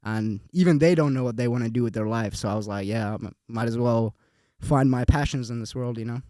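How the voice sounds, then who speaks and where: Laid-back young guest with a dry sound. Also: male voice, medium pitch, a little fast, mellow, no echo. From Finland. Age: young adult. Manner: laid-back, repeats words, says "I can't lie". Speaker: podcast guest. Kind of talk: casual conversation.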